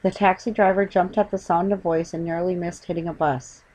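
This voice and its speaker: Woman narrating, lower-pitched voice. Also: steady tone, a little fast, a little mellow, low-quality recording. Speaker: audiobook narrator. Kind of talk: scripted children's story narration.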